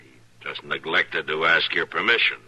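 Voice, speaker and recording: A deep-voiced laconic lawman. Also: moderate pace, husky, full character voice. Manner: dry, even, laconic. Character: calm steady frontier lawman. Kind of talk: 1950s radio drama acting.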